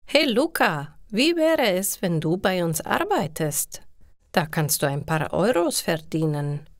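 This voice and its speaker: Helpful male pizza courier for an audio storybook played by a female narrator, patient, encouraging, very measured pace. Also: on the low side, very animated, dry recording, clean studio sound, exaggerated voice. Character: friendly, helpful young man, pizza delivery worker.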